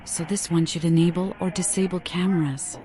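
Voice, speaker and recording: A woman narrates a video game as she plays, thinking through each step. Her voice sits a little low, with noticeable noise and ambience behind it. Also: steady tone, fairly quick. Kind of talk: gameplay narration.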